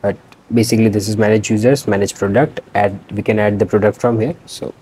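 A young man talks through a screen demo in a small room, recorded on a laptop mic. His voice sits low and a little husky, with a mellow, soft tone. He talks in a loose, unscripted way, full of restarts. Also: flat delivery, fairly quick. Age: young adult. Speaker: student presenting a project.

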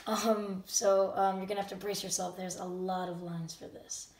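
A channel host talking casually and unscripted to viewers at home, a bit halting and often self-correcting. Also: mid-range voice, little pitch movement. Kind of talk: casual unscripted talk to camera audience. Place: indoor room at home. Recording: roomy, distant microphone.

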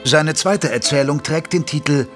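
A man's voice: on the high side, close to an everyday voice. Man playing a solemn Victorian chronicler over music. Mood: reflective, formal. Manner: measured, solemn, formal. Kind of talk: scripted dramatic narration. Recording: studio voice over prominent music.

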